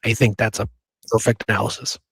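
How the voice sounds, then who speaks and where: A man's voice: fairly low pitch, flat delivery, fast, breathy edge, no room sound. A man hosting a history show, reading old sources aloud between chatty asides. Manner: chatty, with frequent "you know" and "okay" asides. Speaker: history podcast host.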